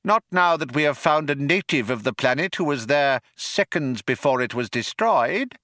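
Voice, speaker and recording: A man's voice: fairly high pitch, lively intonation, normal speed, bright, forceful tone, no echo, studio quality, pitched above the performer's own voice. A man voicing a small talking mouse in an audiobook, coolly acidic and matter-of-fact. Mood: coolly dismissive. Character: small pink-eyed male mouse, coolly acidic and dismissive. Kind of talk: audiobook character voice.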